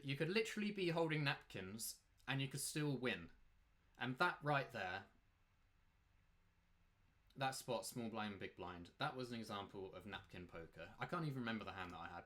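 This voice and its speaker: A man's voice: medium pitch, normal speed, fairly bright tone. A man giving casual, rambling live commentary as he plays poker, emphatically repeating points and rhetorical questions. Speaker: poker player and coach commentating his own play.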